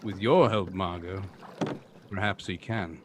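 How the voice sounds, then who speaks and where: An actor playing a suave, thoughtful gentleman detective in an old-time radio drama, speaking in a measured, deliberate way. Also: sits low. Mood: brooding deduction. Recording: live stream with clear background noise.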